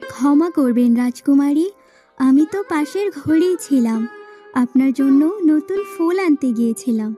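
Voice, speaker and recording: A woman acting a deferential, high-voiced cartoon maid. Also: unhurried, warm tone. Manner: apologetic, respectful. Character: deferential, soothing palace maid to a princess. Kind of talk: cartoon dialogue. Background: background music.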